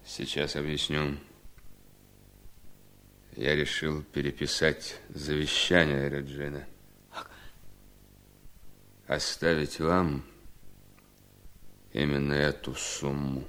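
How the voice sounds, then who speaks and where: An actor playing a bitter, resolute husband in a Soviet seventies radio drama, speaking in a deliberate, ironic, emphatic way. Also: male voice, very deep voice, flat delivery, fairly bright tone, full character voice. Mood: cold, resolute. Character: bitter, resolute husband coolly punishing his scheming wife.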